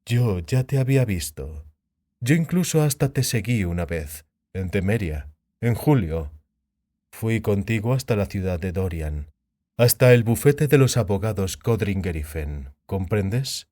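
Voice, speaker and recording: A man's voice: on the low side, animated, measured pace, warm tone. A man voicing a venomous, mocking half-elf thug in a dramatized fantasy audiobook. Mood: gloating menace. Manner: menacing, mocking.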